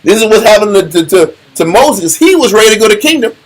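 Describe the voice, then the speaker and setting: Man, middle-aged, Bible teacher, animated and preachy, somewhat high-pitched. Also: fast. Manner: animated, preachy, laughs and repeats himself. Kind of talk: group Bible study teaching.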